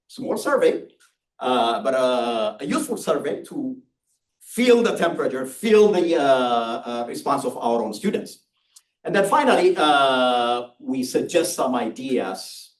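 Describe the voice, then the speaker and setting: A mature man from Ethiopia with an Ethiopian accent, giving a public lecture with personal storytelling in a deliberate, hesitant, emphatic way. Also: medium pitch. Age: middle-aged or older. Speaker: university engineering lecturer. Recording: roomy, distant microphone.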